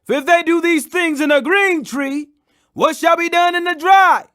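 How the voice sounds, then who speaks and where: A man voicing a condemned holy man, grave and compassionate, preaching loudly and fervently in a scripture audiobook. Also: very high-pitched, moderate pace, voice pushed up. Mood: urgent warning.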